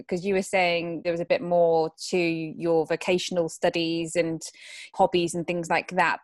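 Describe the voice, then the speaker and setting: A woman's voice: lowish voice, dry recording, clean studio sound. A woman interviewer asking friendly, curious questions in a casual interview.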